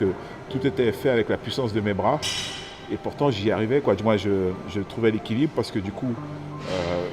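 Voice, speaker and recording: A man in his thirties from French Guiana, telling his own story haltingly, with many fillers and restarts. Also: on the low side. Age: 30s. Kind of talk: personal testimony to camera. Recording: music playing under the voice.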